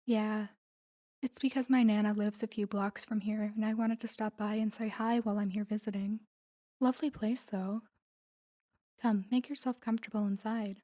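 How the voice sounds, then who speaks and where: A woman performs a wealthy, self-assured girlfriend in an intimate roleplay audio drama. Her tone is mellow and relaxed at a middling pitch. She teases playfully and talks smoothly, like a young woman used to getting what she wants. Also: normal speed, natural voice. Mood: playful teasing. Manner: teasing, smooth-talking, playful.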